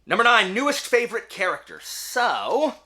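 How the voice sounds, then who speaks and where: Guy doing a casual book video, super animated up and down, bright emphatic voice, pokes fun at himself. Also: high-pitched, normal speed. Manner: animated, self-deprecating, emphatic. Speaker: book review channel host. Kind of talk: casual talk to camera, answering book tag questions.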